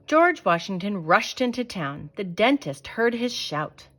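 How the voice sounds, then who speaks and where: Female storyteller, unhurried, dramatic children's read-aloud, mid-range voice. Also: medium pitch, big pitch swings, a bit bright. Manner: dramatic, deliberate storytelling delivery. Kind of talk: expressive children's picture book read-aloud.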